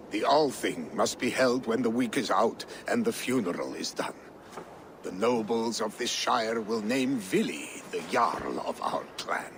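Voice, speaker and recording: A loyal, weary Viking clansman, with a lowish gravelly voice. His delivery moves up and down expressively in this game drama, over noisy outdoor ambience. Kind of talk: video game cutscene acting.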